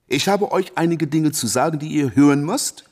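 An actor in a German radio play voicing a proud, fiery young boxer who preaches emphatically with rhetorical repetition. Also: male voice, fairly high pitch, moderate pace, darkish tone, natural voice. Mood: fervent preaching.

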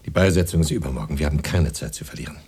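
An actor playing a harried, pompous professional poet in a German radio play, halting and muttering, easily exasperated. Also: male voice, very deep voice, darkish tone, husky, exaggerated voice. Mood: weary, businesslike. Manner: theatrical, halting, muttering then flaring up.

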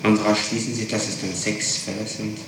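Man, formal interrogator, persistent probing, lowish voice, distant noisy archival tape. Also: little pitch movement, a little fast, gravelly, heavy reverb. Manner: probing, persistent, hesitant phrasing. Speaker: interrogator, state prosecutor.

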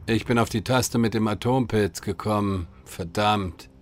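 An actor playing a self-absorbed, evasive mage with a fussy, dry manner in a comedy audio drama. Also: male voice, on the low side, measured pace, noisy background, lightly acted.

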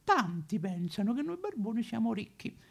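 An actor playing a boozy, cheeky, lonely homeless tramp of fallen nobility, in a rambling, foul-mouthed, singsong stage monologue with a Roman accent. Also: male voice, high voice, big pitch swings, normal speed, vocal fry, heavily acted. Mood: boastful, wistful. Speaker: stage actor in a solo show. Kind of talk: theatrical comic monologue addressed to listeners.

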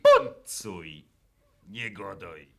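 A man's voice: deep voice, huge pitch swings, drawn-out words, pushed and bright, exaggerated voice. An actor playing a curt, scolding rustic lackey in a radio play, grumbling orders at his fellows. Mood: gruff, scolding. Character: gruff, curt lackey bootblack ordering others to polish. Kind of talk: theatrical radio drama acting.

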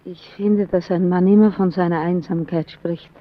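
A woman's voice: lowish voice, fairly even intonation, moderate pace, natural voice. An actress playing a wary, frank and probing young woman in a German radio drama, on a dull old recording.

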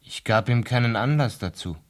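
A man playing a shaken, appalled gentleman in a 1970s German radio drama, speaking in terse, pained phrases. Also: mid-range voice, moderate pace, natural voice. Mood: appalled, pleading.

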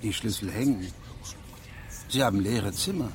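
Low-voiced probing judge played by a man, noisy ambience. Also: normal speed, natural voice. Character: stern, probing traveling judge of fifteen years' standing. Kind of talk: radio drama acting.

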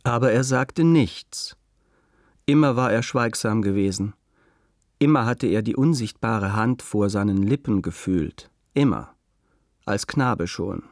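Male radio drama narrator, fairly low, halting weighted phrasing, dry close studio. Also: lively intonation, darkish tone, dry recording. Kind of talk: literary radio play narration.

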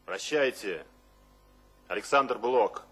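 Radio play role: blunt, bold poet, medium-pitched husky voice, deliberate declamation, music behind. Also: male voice, fairly bright tone. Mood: declamatory, solemn. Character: Mayakovsky, bold blunt male poet reciting his own words. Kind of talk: theatrical radio drama acting.

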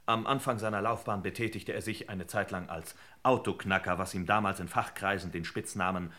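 Wry, sharp-voiced detective boss. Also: male voice, sits low. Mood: droll storytelling. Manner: droll, deliberate, mock storybook narration. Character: wry, self-assured detective boss explaining a gangster case. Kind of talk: 1960s German radio play acting.

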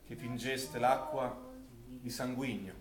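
An actor playing a solemn priest who recounts a wartime massacre in dramatic recitation. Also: male voice, medium pitch, fairly even intonation, slow pace, close to an everyday voice. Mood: solemn, mournful. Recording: roomy hall, background crowd sound.

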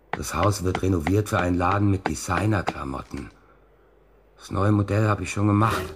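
A man's voice: low-pitched, background noise. An actor in a German radio play voicing a frustrated, brooding middle-aged husband who mutters to himself about his model trains.

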